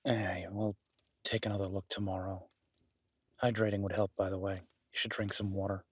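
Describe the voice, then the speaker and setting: A man acts out a gruff, skeptical loner in a remote cabin for an intimate audio drama. His voice is low and rough-edged, recorded close and very dry. He is blunt and sweary, yet grudgingly caring and reassuring. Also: level tone, moderate pace, dry recording. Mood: apologetic, reassuring. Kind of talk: immersive audio roleplay, intimate naturalistic acting.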